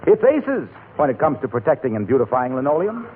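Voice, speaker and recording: A band-limited 1940s radio voice with faint noise. An eager, polished salesman announcer acted by a man delivers punchy lines with lively swings in pitch. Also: a little fast, phone-quality sound, close to an everyday voice. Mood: eager selling. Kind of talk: 1940s radio comedy acting and advertising.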